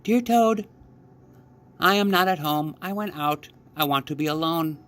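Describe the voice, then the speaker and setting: A man voicing a kindly, helpful frog from a children's storybook, giving gentle, deliberate instruction. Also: on the high side, pitched above the performer's own voice. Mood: calm, matter-of-fact.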